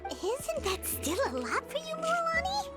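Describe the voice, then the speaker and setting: A woman voicing a small, chirpy, childlike fairy companion in a video game, squeaky and blunt. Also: wildly swooping pitch, unhurried, loud music behind the voice, full character voice. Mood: incredulous.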